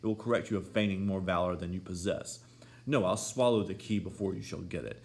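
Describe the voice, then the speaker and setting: A man with a deep, gravelly voice voicing a proud, fiery young lady of the manor in an audiobook, scornful and imperious. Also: a little fast, a little mellow. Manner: scornful, imperious, rising to shrill pleading. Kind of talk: audiobook character voice.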